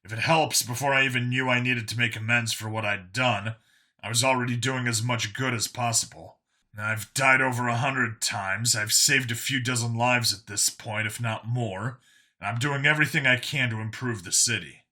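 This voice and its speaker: A man's voice: mid-range voice, moderate pace, bright, forceful tone. A man voicing a world-weary clone cop in an audio story, burdened by guilt and explaining things matter-of-factly. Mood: sheepish, earnest.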